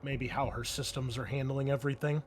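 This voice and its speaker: A man's voice: mid-range voice, fairly even intonation, fairly quick, a little hiss or hum. A man running a tabletop roleplaying game as game master, mixing improvised narration with conversational explanations to his players. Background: music under the voice.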